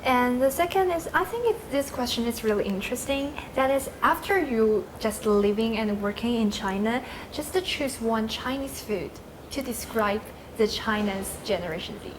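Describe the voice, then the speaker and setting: A woman with a fairly high voice moderates a round-table discussion. She asks simple questions with slightly unidiomatic phrasing. Room noise is clearly heard behind her. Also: expressive, moderate pace, few pauses. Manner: brief backchannel replies, slightly unidiomatic phrasing. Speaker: host.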